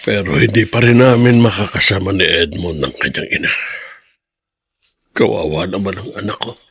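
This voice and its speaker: An actor voicing a stern, bitter elderly Filipino father in a radio drama, with a harsh, rough voice. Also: low voice, moderate pace, a bit bright, full character voice. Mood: sorrowful. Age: older.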